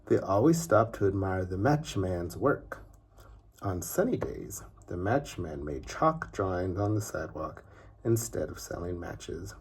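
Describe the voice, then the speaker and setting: Slow, very husky male storyteller. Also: low-pitched, sing-song. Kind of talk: scripted children's story narration.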